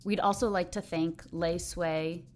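A woman hosting a podcast, reading questions and closing credits in a measured, formal way. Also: lowish voice, steady tone, a little mellow, faint music behind. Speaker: podcast host.

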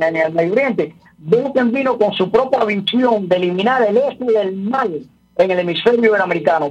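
Guy phoning into a radio show, keeps stumbling over words, husky, faint music under him. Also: high-pitched, dull audio. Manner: repeats words, restarts often. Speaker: political commentator guest. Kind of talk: radio phone-in commentary.

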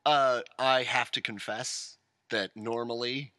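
A man's voice: mid-range voice, steady tone, takes it slowly, bright, forceful tone, dry recording, clean studio sound. A man co-hosting a casual podcast, joking and rambling with self-mocking asides. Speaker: podcast co-host. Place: rural home studio.

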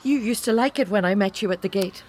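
A woman's voice: medium pitch, quick pace, a little mellow, a little hiss or hum, natural voice. A middle-aged woman acting in an audio drama as an anxious, wounded mother of a teenage son, hesitant in her speech. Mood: hurt, placating.

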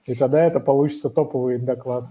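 A man's voice: mid-range voice, steady tone, quick pace, warm tone, low-quality recording. A man co-hosting a podcast in casual chat, making jokey asides and groping for names. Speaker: podcast co-host.

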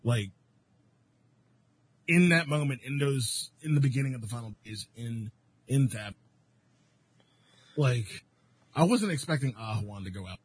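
A laid-back man chatting on an online voice call, joking dryly and stopping often to restart his sentences. His husky voice rises and falls a lot as he talks. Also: mid-range voice, lively intonation, unhurried, pauses often. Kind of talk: casual group chat about a video game.

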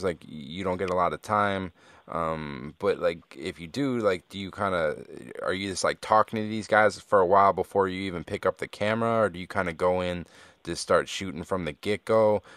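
Deep-voiced laid-back podcast host. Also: male voice, a bit bright, no echo. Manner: laid-back, curious, heavy use of "like" and "kinda". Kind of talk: casual interview conversation.

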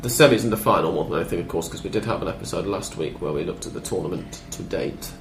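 A man hosting a casual football podcast, with a sore throat and a blocked-up cold, talking in a measured way. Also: deep voice, echoey room, slight background noise. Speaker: podcast host. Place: living room.

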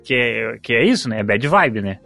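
A man's voice: medium pitch, sing-song, moderate pace, creaky, sharp tone, no room sound, faint music behind. A man, co-hosting a podcast in loose, joking casual chat and swearing freely. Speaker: podcast co-host.

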